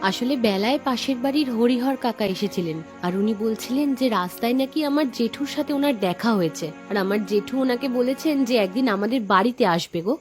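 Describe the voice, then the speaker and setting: Actress as cartoon village housewife, very high pitch, swooping intonation, hospitable, music underneath. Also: female voice, animated, brisk, few pauses, close to an everyday voice. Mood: concerned, gentle. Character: village housewife and dutiful niece, hospitable, a little anxious. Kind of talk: Bengali cartoon voice acting.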